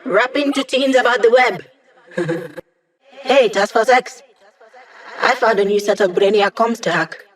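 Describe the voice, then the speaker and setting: A woman's voice: on the high side, unhurried, long pauses, natural voice. A woman voice actor playing an upbeat, wisecracking young woman hacker in a video game, casual, playful and teasing. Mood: upbeat, determined.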